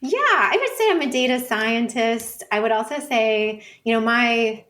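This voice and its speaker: A woman's voice: fairly high pitch, expressive, a little slow, fairly bright tone. A woman guest on an interview show, a professor explaining her field thoughtfully in loose, conversational phrasing. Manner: thoughtful, hedging with "like" and "sort of". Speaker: guest, professor of urban studies and planning.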